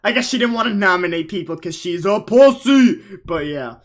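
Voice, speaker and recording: A man's voice: high-pitched, lively intonation, normal speed. A young man co-hosting a casual recap, rambling and swearing, slipping into mocking impressions. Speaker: podcast co-host.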